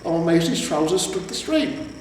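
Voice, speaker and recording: A man voicing an earnest, plodding night-watch sergeant in a storybook, speaking deliberately and emphatically. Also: on the high side, very animated, darkish tone, voice pushed up. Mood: earnest reporting. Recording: roomy, echoing, distant mic with noise.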